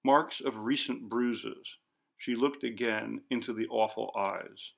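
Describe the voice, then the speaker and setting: A man narrating a literary ghost story as an audiobook, in a measured, dramatic manner. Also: mid-range voice, steady tone, gravelly, fairly bright tone.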